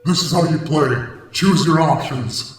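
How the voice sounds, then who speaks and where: Male performer as booming game overlord from the void: theatrical, commanding, jubilant yet threatening, with music underneath. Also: measured pace, roomy sound, long reverb tail, natural voice. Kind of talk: dramatic reading of a text adventure game.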